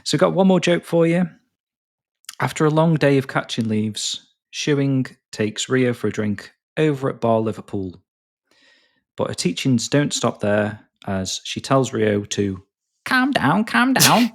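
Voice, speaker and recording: A man's voice: medium pitch, sing-song. A man hosting a podcast over a remote call, chatting casually, cracking jokes and laughing often. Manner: jokey, laughs often, quick asides. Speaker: podcast host. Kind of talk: casual chat.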